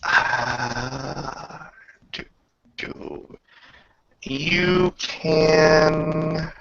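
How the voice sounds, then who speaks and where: A man speaking as game master in a casual tabletop roleplaying session, halting and muttering through rules and dice. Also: on the high side, creaky, fairly bright tone. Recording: dull lo-fi recording.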